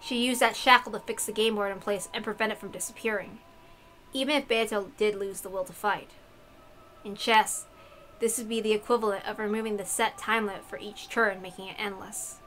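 A woman with a bright, forward voice reads a visual novel aloud as a streamer. She is informal and sits at a middle pitch. Faint noise runs behind her. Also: steady tone, brisk, poor audio. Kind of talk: casual commentary on a game read-aloud.

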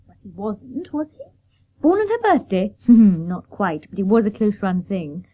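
A woman's voice: medium pitch, big pitch swings, unhurried. An actress playing a genteel, chatty lady hostess in a radio drama. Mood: sociable. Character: genteel, chatty mother-to-be's sisterly confidante and hostess. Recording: dull old radio broadcast.

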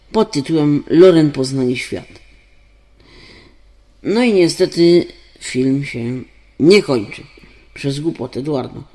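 A man's voice: a little high, a little mellow. A man, the writer and director, giving an informal spoken introduction to his radio play.

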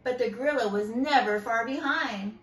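A woman's voice: fairly high pitch, normal speed, reverberant, poor audio. A woman narrating a children's storybook expressively, with dramatic, emphatic phrasing. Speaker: storybook reader.

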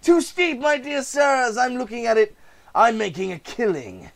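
A man's voice: extremely high pitch, expressive, normal speed, creaky, voice pushed up, exaggerated voice. A man voicing a gleeful, sinister clown villain in a comic book reading, flamboyant and mocking.

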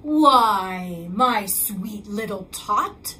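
Woman putting on a sly, slow storybook Grinch. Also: medium pitch, animated, pushed and bright, slight background noise, exaggerated voice. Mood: falsely sweet. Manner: drawn-out, exaggerated, scheming. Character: fake Santa, sly Grinch, sweetly lying and gloating. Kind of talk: storybook read-aloud acting.

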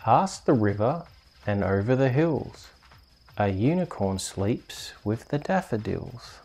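An Australian man hosting and reading bedtime stories in a soft, soothing, unhurried ASMR storytelling manner. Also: on the low side, expressive, gravelly. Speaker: host reading bedtime stories on a video channel.

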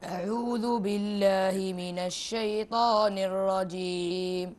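A young male guest beginning a slow Quran recitation. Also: high-pitched, fairly even intonation.

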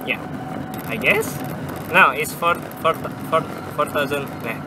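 A young man with an Indonesian accent chatting casually for a vlog while driving, haltingly repeating and correcting his words. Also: a little high, very animated. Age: young adult. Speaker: vlogger. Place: inside a car with windows open, driving. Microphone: camera propped on the dashboard. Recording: clear car and traffic noise.

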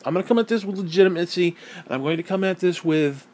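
Hoarse, heated, foul-mouthed man. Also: high voice, quick pace, a little mellow. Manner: profane, blunt and heated. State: hoarse, losing his voice. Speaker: gaming vlogger. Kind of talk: angry opinion rant.

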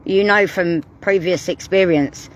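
A woman's voice: on the low side, a little slow. A woman questioning someone scornfully in the street, recorded outdoors on a phone. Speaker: member of a hunting group. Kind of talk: street confrontation.

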